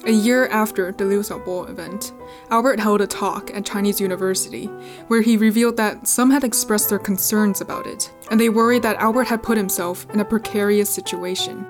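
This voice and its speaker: A woman's voice: mid-range voice, steady tone, brisk, faint music behind. A young adult woman from California with an American accent, reading scripted narration as a podcast host. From California, United States.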